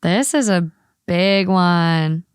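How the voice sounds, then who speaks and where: A woman's voice: on the low side, extremely slow, clear, resonant tone, no echo, clean studio sound. A woman hosting a podcast, chatting casually and reading stories aloud. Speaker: podcast host. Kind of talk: casual co-host chat and reading a story aloud.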